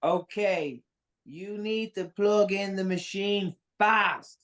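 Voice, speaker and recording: An actress in a dramatic table read playing a panicked, incredulous person, stammering and exasperated. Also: female voice, mid-range voice, slow pace, fairly bright tone. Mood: frantic, exasperated. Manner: stammering, exasperated, foul-mouthed.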